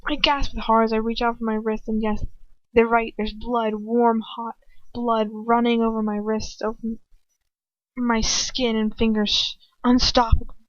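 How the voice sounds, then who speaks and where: A woman reading fan fiction aloud as an amateur, expressive and informal, stumbling over words and correcting herself. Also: fairly high pitch, normal speed, no echo. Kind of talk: dramatic first-person story narration. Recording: dull, lo-fi home microphone.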